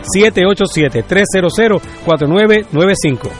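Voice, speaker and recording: A man making a religious radio donation appeal and slowly repeating a phone number. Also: high-pitched, lively intonation, over music. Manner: slow, deliberate repetition of a phone number. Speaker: radio fundraiser announcer.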